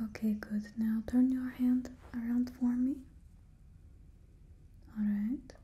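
Young woman playing a villain's stylist, breathy close whisper, fake Russian accent, slow and mellow. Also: a little high, little pitch movement, heavily acted. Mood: soft attentive. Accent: put-on Russian accent. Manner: slow, gentle, instructing a client. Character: young female stylist to a supervillain, businesslike. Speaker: ASMR roleplay performer. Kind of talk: whispered ASMR roleplay. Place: small indoor studio with a painted backdrop.

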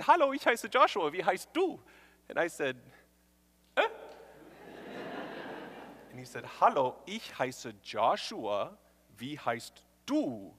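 A young man gives a stage talk in a bright, forceful voice. He tells his stories in an animated, self-mocking way, with a long reverb trailing behind him. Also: sits high. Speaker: speaker.

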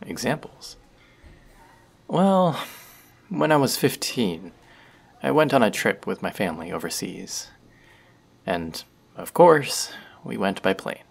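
A teasing, talkative young barista, voiced by a man in an audio roleplay, husky with a gravelly edge. His pitch sits low but swings widely as he rambles and jokes. The tone stays friendly and playful. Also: big pitch swings, slow pace, pauses often, full character voice. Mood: rambling.

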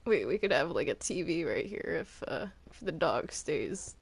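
A woman's voice: low-pitched, sing-song, moderate pace, vocal fry, slightly rough. A woman chatting casually in a roleplay game, hesitant and often repeating her words. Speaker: player in a Minecraft roleplay.

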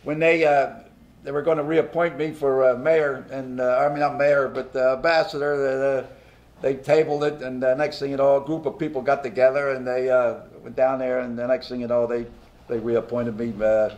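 A man's voice: mid-range voice, flat delivery, normal speed, a little mellow. A man in his nineties hosting a small studio talk show, rambling folksily with lots of fillers and restarts. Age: 90s. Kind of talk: casual interview chat. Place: small studio with banners, seated at a table.

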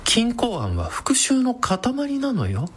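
An actress playing a brash, sardonic villainous woman with a sharp-tongued, theatrical manner in a comedic radio drama. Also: a little high, wildly swooping pitch, takes it slowly, slightly rough, heavily acted. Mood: grave, confiding.

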